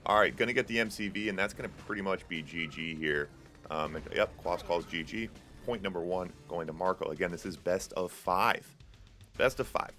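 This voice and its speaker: Deep-voiced man casting a strategy game. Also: moderate pace, sharp tone, soft background music. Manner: casual and chatty, many fillers, jokes with chat. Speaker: tournament host and caster. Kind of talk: live esports play-by-play commentary.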